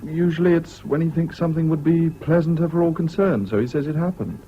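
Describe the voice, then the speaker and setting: An actor playing a level-headed, concerned British officer in a 1970s radio drama, measured and practical in manner. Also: male voice, on the high side, lightly acted. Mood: matter-of-fact. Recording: muffled old radio recording with noise.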